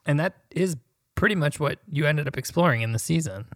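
A man's voice: a little high, lively intonation, a little fast, a bit bright, no echo, studio quality. A man co-hosting a podcast in a casual, chatty style, steering the conversation.

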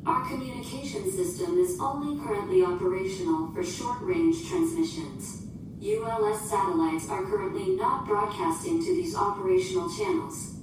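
Echoing high-pitched female ship computer. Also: sing-song, normal speed. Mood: calm, formal. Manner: formal, precise, literal, emotionless phrasing. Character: female ship computer, literal, formal, unflappable. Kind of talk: science fiction audio drama acting. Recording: very echoey, distant, noisy ambience.

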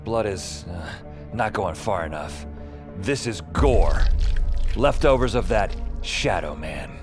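Jittery, lively low-voiced office man. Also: slow pace. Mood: panicked. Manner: panicky, sardonic. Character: jittery, wisecracking office man hiding a secret. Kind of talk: first-person audio drama narration. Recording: music bed under voice.

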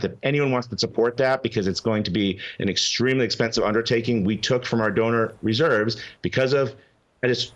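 Lowish voiced guy ranting urgently on a call-in show, muffled lo-fi line. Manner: emphatic, urgent, with frequent restarts. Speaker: guest, legal advocacy group representative. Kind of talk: animated call-in interview.

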